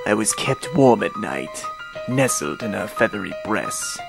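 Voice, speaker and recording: A man's voice: on the low side, big pitch swings, a little slow, gravelly, exaggerated voice. A man voicing a cold, cunning old man in a comic dub, precise and pompous with open contempt. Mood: solemn recounting. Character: cold, cunning old man, scornful long-lost brother. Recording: voice over background music.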